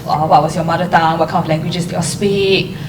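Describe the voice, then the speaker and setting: A woman's voice: fairly low pitch, steady tone, fast talker. A woman with a Singaporean English accent hosting a podcast, asking questions and giving short affirmations. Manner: encouraging interviewer, many short affirmations. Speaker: podcast host. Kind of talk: casual interview. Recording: noisy room, distant mic.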